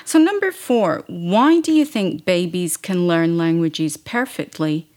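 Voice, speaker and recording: Lively low-pitched woman asking questions. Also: unhurried. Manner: brief backchannels, rises in pitch on each question number. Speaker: interviewer. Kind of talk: reading numbered interview questions.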